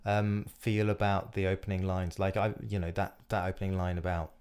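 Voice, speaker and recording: Lower-voiced man, halting interviewer. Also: level tone, darkish tone, clean tone. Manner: halting, searching for words, thoughtful. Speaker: podcast host, comedian. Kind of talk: casual interviewing.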